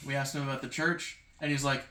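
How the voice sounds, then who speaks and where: A man's voice: mid-range voice, level tone, quick pace, sharp tone. Echoey-room man recapping a tabletop game. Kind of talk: casual retelling to a group. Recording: echoey room, background noise, clicks.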